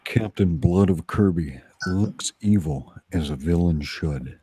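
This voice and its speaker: A man's voice: deep voice, takes it slowly, mellow, slight background noise. A man co-hosting a livestream with casual, joking banter. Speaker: livestream co-host.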